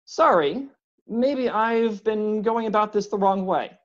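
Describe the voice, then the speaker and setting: Storybook figure: narrator's take on a huge man with a timid, apologetic manner, pitched up, muffled recording. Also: slow pace, close to an everyday voice. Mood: polite pleading. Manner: apologetic, courteous, pleading. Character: big polite anxious man, professor.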